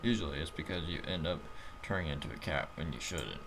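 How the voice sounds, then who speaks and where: A man's voice: a bass voice, animated, fairly quick, vocal fry, background noise, full character voice. A man voicing a perky, teasing female vet-clinic guide in a comic game, breezy and playful. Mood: breezy, explanatory.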